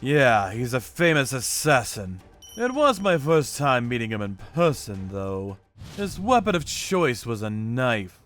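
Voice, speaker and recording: A man's voice: mid-range voice, very animated, unhurried, fairly bright tone, soft background music. A man voicing a grim, coolly menacing vigilante torturer, narrating his revenge work in a hard-edged, dramatic manga style. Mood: grim, resolute.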